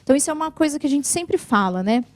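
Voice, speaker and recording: A Brazilian woman answering viewers' questions about benefits in a TV interview, explaining patiently and clearly, often adding "né". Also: sits high, lively intonation, rapid-fire, no echo. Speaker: social security lawyer guest. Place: TV studio.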